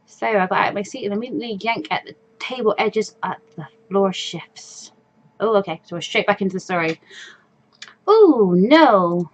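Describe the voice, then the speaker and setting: A woman hosting a game playthrough, reading story text aloud expressively and adding casual asides of her own. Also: medium pitch. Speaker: let's play host. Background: faint game music.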